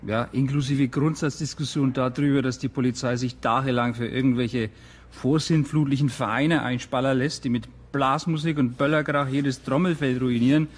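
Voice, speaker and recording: A man's voice: medium pitch, fairly even intonation, normal speed, close to an everyday voice. An actor playing a sarcastic, griping policeman with a Franconian accent in a naturalistic radio drama. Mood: dry sarcasm. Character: sarcastic Franconian policeman grumbling about local folklore.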